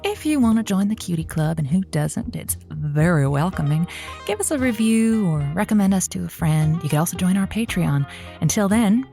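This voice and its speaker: A woman's voice: on the low side, animated, normal speed, gravelly, mellow, soft background music. A woman playing a clingy, jealous and dramatic radio show co-worker in an audio comedy-drama. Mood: chirpy, announcing.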